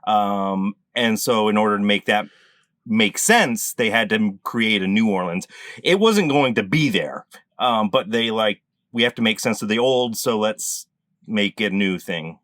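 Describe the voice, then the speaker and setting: A man's voice: on the low side, unhurried, a bit bright, no room sound. A man in his forties improvising a mock explanation as a panelist on a comedy quiz. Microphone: video call.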